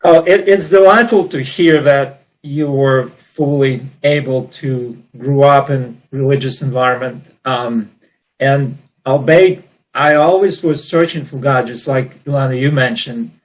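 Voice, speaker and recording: A man's voice: mid-range voice, measured pace, rough recording. A man, from the former Soviet Union, speaking earnestly as a panelist about his own life. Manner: warm and earnest, with many "you know" fillers. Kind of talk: personal storytelling in a panel discussion.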